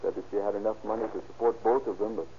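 Worried civilian under questioning, played by a man: cooperative, fairly low pitch, vintage radio style, noisy lo-fi sound. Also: steady tone, rapid-fire, lightly acted. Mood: uneasy. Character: uneasy, cooperative civilian man, son of a suspect. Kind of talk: 1930s radio acting. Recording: muffled, noisy old radio recording.

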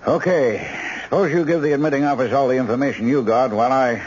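An actor playing a brisk, authoritative male emergency-room doctor in an old-time radio drama, giving clipped orders. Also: mid-range voice, darkish tone, slightly rough, lightly acted. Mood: matter-of-fact. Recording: dull lo-fi radio recording, faint noise.

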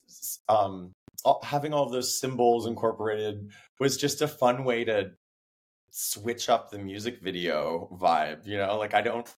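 Hoarse young man telling a story. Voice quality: deeper than usual. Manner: animated storytelling, acts out his own quotes. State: hoarse from laryngitis. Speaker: singer-songwriter guest. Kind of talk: casual interview chat.

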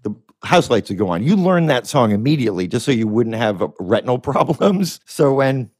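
A man in his fifties from Connecticut with a deep, masculine voice, chatting in a rambling, profane, self-mocking way as a podcast guest. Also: sing-song, fairly quick, darkish tone, no room sound, studio quality. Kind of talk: casual chat.

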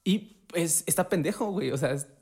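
Animated slangy Mexican man. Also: a little high, a little fast, gravelly, husky. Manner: slangy, profane and animated, acts out quotes. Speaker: podcast co-host. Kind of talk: casual conversation.

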